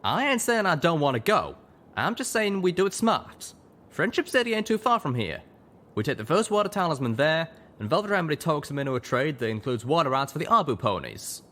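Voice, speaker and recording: A man's voice: a little high, very animated, fairly quick, pushed and bright, voice pushed up. A man voicing a plain-spoken, loyal cowboy pegasus stallion in an audio drama. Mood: reasoning.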